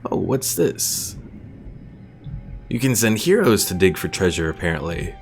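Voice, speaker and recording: A man's voice: low voice, big pitch swings, measured pace, gravelly, soft background music. A man giving casual live commentary as a game streamer, chatting and thinking aloud as he explains mechanics.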